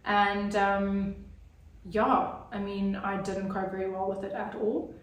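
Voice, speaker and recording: A woman speaks earnestly, pausing often on fillers. Her voice sits at an ordinary pitch for a woman and sounds a little distant from the mic. The room is very echoey. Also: flat delivery. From Zimbabwe. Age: 30s. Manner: earnest, hesitant, many fillers. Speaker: guest. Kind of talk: personal story in an interview.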